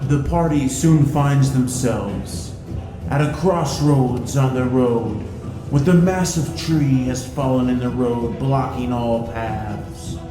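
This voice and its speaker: A man's voice: medium pitch, slow pace. A man acting as game master, giving scripted narration of a fantasy adventure. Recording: roomy echo, music behind. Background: music.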